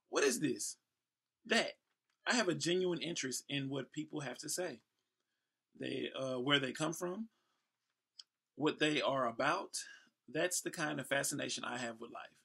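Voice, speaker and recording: Gravelly American man in his thirties. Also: medium pitch. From Houston, Texas, United States. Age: 30s. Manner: playful, breaks into ad-libs and shout-outs. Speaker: host and professional event MC. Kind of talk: reading a newspaper story aloud with casual asides.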